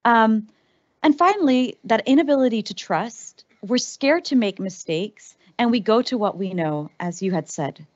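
A woman's voice: on the high side, moderate pace, highs cut off. A woman in her thirties from the Netherlands, giving a conversational keynote on a large stage with a headset mic and plenty of fillers. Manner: animated, conversational, frequent fillers. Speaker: keynote speaker. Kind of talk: conference talk.

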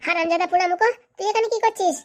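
A woman's voice: very high-pitched, rapid-fire. A woman voicing a hot-headed, abusive young village man in a comic cartoon, scolding belligerently. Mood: furious.

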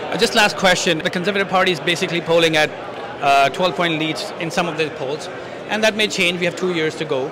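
A man asks his questions quickly but haltingly, stopping for fillers. His voice is slightly high. He holds a handheld mic in a convention hall with clear crowd chatter behind him. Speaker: interviewer. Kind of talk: asking interview questions. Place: large convention hall lobby, indoors.

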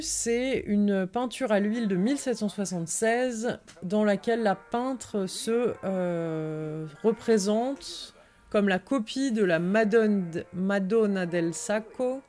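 A woman's voice: medium pitch, dark tone. A woman co-hosting a livestream, translating and commenting on an article as she reads it. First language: French. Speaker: livestream co-host. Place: indoor room, livestream. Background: music.

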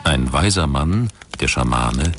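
Male documentary narrator: low, lively intonation, measured and articulate, music underneath.

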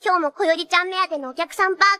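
Super high bubbly anime magical nurse girl, teen, bratty and cute, piercing bright voice. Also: rapid-fire. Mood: bubbly, excited. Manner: cutesy, bubbly, catlike verbal tics. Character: perky, cheeky teenage idol magical nurse girl. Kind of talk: anime character acting and excited narration.

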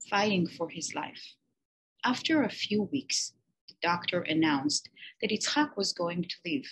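A teacher reading a children's picture book aloud, slow and expressive with emphatic pauses. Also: female voice, mid-range voice, slight background noise, poor audio.

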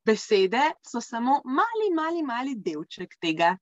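Live interpreter, woman, 30s to 40s, slightly high voice, dry but lo-fi call sound. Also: very animated, moderate pace, no echo. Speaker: consecutive interpreter. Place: small indoor room, video call. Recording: dull lo-fi video-call sound.

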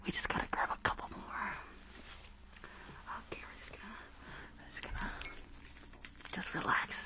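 A shady, impatient female dentist, acted out by a woman speaks in breathy whispers for an ASMR roleplay. She mutters bossy orders and repeats herself in a hurry, gleeful about her crooked work. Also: moderate pace, close to an everyday voice, rough recording. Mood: falsely reassuring. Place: small indoor room, livestream setup.

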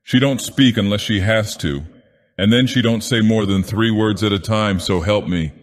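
A man voicing a gruff, drunken, superstitious schooner captain in a storybook narration, grumbling and emphatic with fear underneath. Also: fairly low pitch, moderate pace, big-room sound, pitched above the performer's own voice, lightly acted. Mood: grumbling, uneasy.

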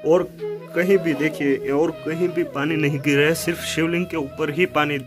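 Young male vlogger, slightly high voice, casual guide-style explaining, loud instrumental music underneath. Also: steady tone, normal speed. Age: 20s. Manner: explanatory, repeats himself, addresses viewers as friends. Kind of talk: casual explanatory vlog to camera.

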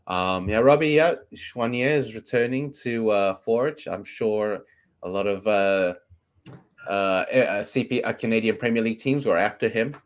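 A South African man hosting a casual sports chat over a webcam from his bedroom, his voice sitting fairly low. Afrikaans is his first language, and he rambles along with plenty of ums and restarts. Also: moderate pace. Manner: chatty, rambling, lots of ums. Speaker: podcast host. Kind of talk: casual sports talk show. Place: bedroom at home. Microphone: webcam on video call.

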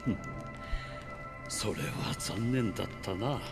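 An actor playing a courteous, philosophical, gently curious man in a Japanese video game, measured and theatrical in manner. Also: on the low side, gravelly, in a large hall, loud music behind the voice.

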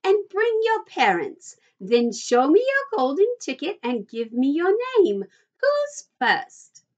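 A woman voicing an effusive, eccentric little male factory owner from a children's storybook, in a high, fluty voice and gushing bursts. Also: huge pitch swings, lo-fi sound, heavily acted. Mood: welcoming, excited. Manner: gushing, hurried bursts of exclamation.

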